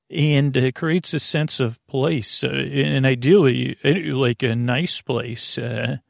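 Guy with a creaky fry-ish voice, wandering bedtime podcast ramble, self-correcting, dead dry mic. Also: medium pitch, no echo. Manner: meandering, self-correcting, earnest. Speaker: sleep podcast host.

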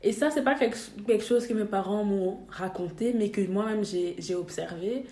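A woman's voice: mid-range voice, normal speed, mellow, roomy sound. A young woman from Belgium, a podcast guest talking casually, hesitating with many fillers and restarts. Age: young adult. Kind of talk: casual interview.

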